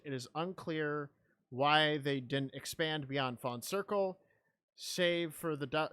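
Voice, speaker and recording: A man's voice: a little high, clean tone, no echo. A man giving casual, irreverent reaction commentary with profane jokes and reading text aloud. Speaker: reactor watching and commenting on a horror video. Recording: loud music behind the voice.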